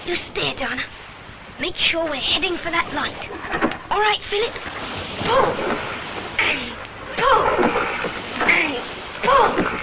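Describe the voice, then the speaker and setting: Woman voicing a plucky, very high-pitched boy. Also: sing-song, takes it slowly, pauses often, heavily acted. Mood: steady. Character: plucky, decisive boy leading a children's adventure. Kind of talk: children's audio drama acting. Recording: very noisy older dramatised recording.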